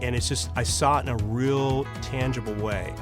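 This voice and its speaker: A man from Pennsylvania speaking as an interview guest, telling personal stories casually in a rambling, expressive way. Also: mid-range voice, moderate pace, music in the background. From Pennsylvania, United States. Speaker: podcast guest, storyteller. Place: studio.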